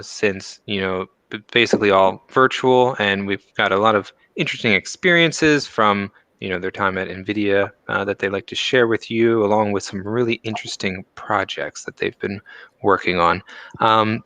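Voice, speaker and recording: A man hosting a casual live stream with interns, relaxed and chatty. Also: lowish voice, dry recording. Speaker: host.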